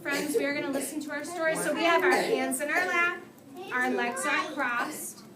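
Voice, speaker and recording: A woman's voice: high voice, moderate pace. A woman teaching young children during a read-aloud, speaking in simple, repeated instructions and prompting questions. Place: classroom, recorded from across the room. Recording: echoey room, distant mic, loud children's chatter. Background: young children talking.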